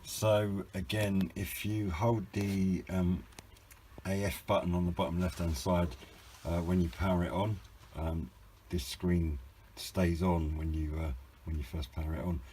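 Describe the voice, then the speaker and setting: A man's voice: deep voice, flat delivery, unhurried, warm tone, slight background noise. A British man giving an informal, hesitant and understated hobby product review, rambling a little as he explains. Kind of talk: informal unboxing and review narration.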